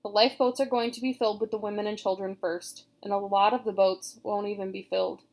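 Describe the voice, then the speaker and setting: A woman's voice: medium pitch, flat delivery, fast, a little mellow, lightly acted. A woman reading aloud, voicing a bossy, practical young boy who talks bluntly and firmly.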